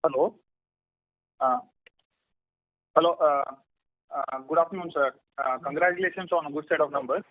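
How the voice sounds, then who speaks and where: A man's voice: on the high side, moderate pace, long pauses. A man calling in as an analyst, politely and hesitantly asking questions on an earnings call. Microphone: telephone handset or line. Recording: phone-like call line.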